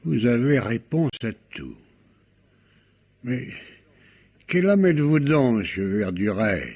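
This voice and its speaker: A stern examining magistrate, skeptical and easily indignant. The voice is a little husky and highly expressive, formal in wording and exclamatory. The sound is that of a muffled, vintage radio drama. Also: male voice, slow, full character voice. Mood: puzzled. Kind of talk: old French radio mystery drama acting.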